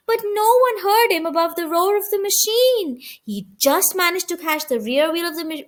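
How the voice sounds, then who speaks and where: A woman reading a children's story aloud with a dramatic, emphatic delivery. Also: very high voice.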